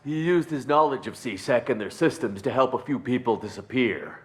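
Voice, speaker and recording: An actor playing a cowardly, blustering criminal underling in a video game, nervy and easily cowed. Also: male voice, mid-range voice, lively intonation, normal speed, background noise, lightly acted. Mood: confiding.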